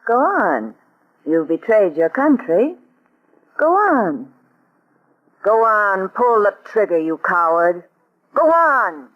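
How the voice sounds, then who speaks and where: An actress playing a scornful woman who goads a traitor, in 1940s radio-drama style, with a slow, lilting delivery. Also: medium pitch, very animated. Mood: scornful goading. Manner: scornful, urging, rising to a shout. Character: taunting woman goading a traitor. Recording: dull lo-fi old radio recording.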